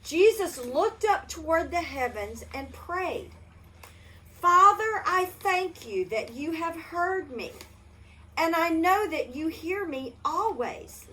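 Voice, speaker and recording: An older woman reading a Bible story to children in a gentle storybook manner, stopping to ask the kids questions. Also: very high voice, animated, slow.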